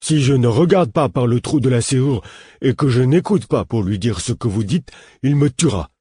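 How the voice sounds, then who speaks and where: Give me a childlike, frightened little man, voiced by a male narrator, shrill and strained with fear, in a close, dry audiobook read with a slightly husky edge. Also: expressive, normal speed, mellow, no echo. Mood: terrified. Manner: high, strained, terrified pleading. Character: frightened simple-minded small man, childlike.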